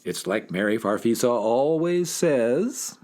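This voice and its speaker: Male narrator, scripted children's audio adventure; lively, varied pitch, addresses kids directly, light music behind. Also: takes it slowly. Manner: addresses young listeners directly.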